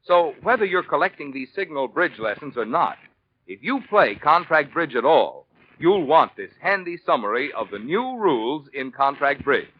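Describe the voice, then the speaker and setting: A man's voice: fairly high pitch, sing-song, normal speed, a little hiss or hum. A man announcing in scripted 1940s radio sponsor style, with an emphatic, polished sales delivery. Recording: muffled 1940s radio recording.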